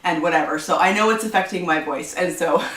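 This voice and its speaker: A woman's voice: lowish voice, fairly quick, fairly bright tone, echoey room, distant mic, poor audio. A woman chatting casually about her reading habits on her book channel, stuffed up from allergies and rambling with lots of fillers. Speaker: book vlogger. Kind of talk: casual chat to camera.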